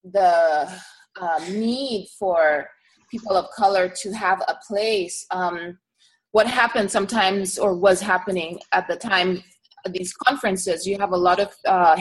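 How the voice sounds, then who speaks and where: A middle-aged woman from East Africa, a herbalist guest speaking in a relaxed, earnest interview and pausing often to think. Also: on the low side, low-quality recording. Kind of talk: casual conversational interview.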